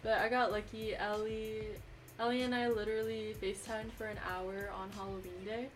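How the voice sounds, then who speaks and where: A twenty-year-old woman co-hosting a podcast, who calls her voice naturally deep and talks in a steady, matter-of-fact way. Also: normal speed, faint music behind. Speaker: podcast co-host. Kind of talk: casual chat.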